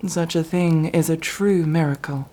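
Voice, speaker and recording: Woman acting a slow-spoken zealous warrior nun. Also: sits low, fairly even intonation, warm tone, rough recording. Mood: reverent. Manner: solemn, preaching, archaic formal phrasing. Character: zealous warrior nun, devout sci-fi battle sister. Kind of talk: ASMR roleplay, dramatic monologue.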